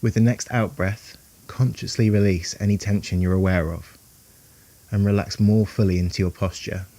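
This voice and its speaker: A man guiding a meditation in a calm, soothing, unhurried way, leaving long pauses between phrases. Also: fairly low pitch. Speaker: meditation guide. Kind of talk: guided meditation narration.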